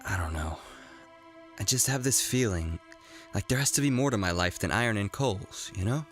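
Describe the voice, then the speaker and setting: A restless young apprentice played by a man in an animated drama, with a very deep voice. He talks haltingly and earnestly, an awkward dreamer, over faint music. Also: lightly acted. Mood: wistful. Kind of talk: naturalistic animated series acting.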